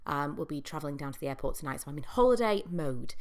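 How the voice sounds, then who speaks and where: A young woman talks through her thoughts on her own podcast in a low-ish voice. She sounds congested from a cold. Her delivery is chatty and reflective, full of ums and likes. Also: lively intonation, fast talker, darkish tone. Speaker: podcast host. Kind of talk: casual solo podcast monologue.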